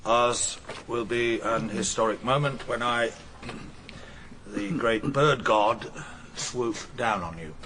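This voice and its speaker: Posh-mannered english witness, middle-aged, self-assured, sharp bright tone, radio play, some noise behind. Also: male voice, medium pitch, slow pace. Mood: uneasy recital. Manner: self-assured, formal, slightly pompous. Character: smug, cultured middle-aged Englishman testifying in court. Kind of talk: radio drama courtroom acting.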